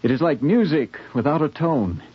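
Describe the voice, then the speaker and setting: An actor playing an intense, grandiose young poet in a 1950s radio drama, declaiming rapturously. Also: male voice, on the high side, animated, moderate pace, husky. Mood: dreamy. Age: young adult. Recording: muffled 1950s radio recording.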